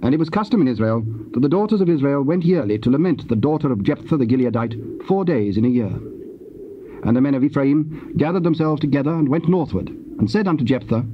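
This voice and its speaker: A man narrating scripture from a script in a solemn, measured and formal way. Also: medium pitch, animated. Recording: muffled old cassette, faint hiss.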